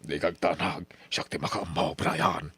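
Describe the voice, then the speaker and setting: A man's voice: sits low, flat delivery, measured pace, a bit bright, slightly rough, full character voice. An actor with an Ilocano accent playing a scheming, bossy, middle-aged farmer father in an old-style radio drama, grumbling and scolding. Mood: fawning. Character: scheming, money-minded father, a poor farmer, nagging and bossy.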